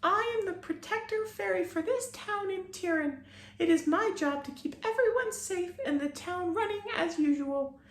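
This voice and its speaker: A man voicing a tiny, high-voiced female fairy protector with a screechy tone. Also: moderate pace, reverberant. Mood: grateful.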